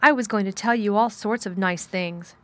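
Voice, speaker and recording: A woman voicing a sour, stubborn young girl in an audiobook, with fierce, sharp retorts. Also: mid-range voice, moderate pace, lightly acted. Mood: sour, scornful. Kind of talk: audiobook character dialogue.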